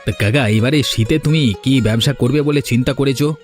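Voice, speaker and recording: A man's voice: mid-range voice, fast talker, soft background music, lightly acted. A man playing an amiable, obliging younger village tea seller in a cartoon story. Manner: obliging, conversational.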